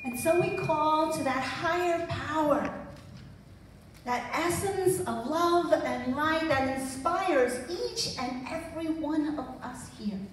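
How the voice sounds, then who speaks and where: A middle-aged woman minister gives an invocation in a very echoey church, heard from a distance. She speaks slowly, in emphatic phrases with pauses between them. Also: high-pitched. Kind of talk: spiritual invocation. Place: small church sanctuary. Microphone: handheld microphone, filmed from audience. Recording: echoey hall, distant mic, faint noise.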